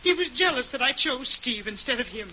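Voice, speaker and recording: An actress playing a distraught, bitter, pleading carnival wife in 1940s radio melodrama. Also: female voice, sits high, lively intonation, a little fast, pushed and bright. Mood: bitter, upset. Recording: muffled, noisy vintage radio recording.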